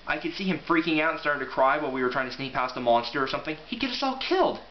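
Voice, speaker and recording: Guy with a highish voice, offhand book talk and reading, bit of hiss, roomy echo. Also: animated, racing. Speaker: amateur book reviewer and reader. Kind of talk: casual rambling review and book read-aloud. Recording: echoey room, muffled, faint hiss.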